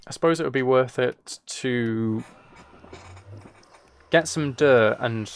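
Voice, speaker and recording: A man's voice: medium pitch, slow, clear, resonant tone. A man chatting casually while he plays a game, thinking aloud in a rambling, playfully self-mocking way. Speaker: streamer.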